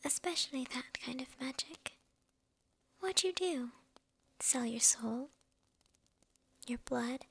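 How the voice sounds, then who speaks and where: High, whispery, slow-talking sly witch, acted by a woman. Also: full character voice. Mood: gossipy, coaxing. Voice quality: breathy, whispery soft voice. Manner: slow, teasing, with soft chuckles. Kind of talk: ASMR fantasy roleplay.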